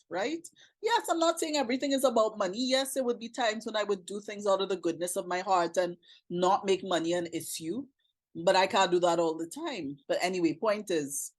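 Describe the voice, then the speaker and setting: A woman talks to her viewers in a hoarse, slightly low voice. She thinks aloud in short, halting phrases, circling back to her point as she goes. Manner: reflective, talks in short stop-start phrases. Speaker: vlogger. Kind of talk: casual monologue.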